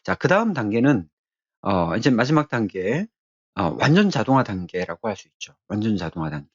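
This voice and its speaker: A man giving an explanatory technical lecture as an instructor, speaking haltingly and repeating his key phrases. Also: lowish voice, big pitch swings, no echo, muffled recording.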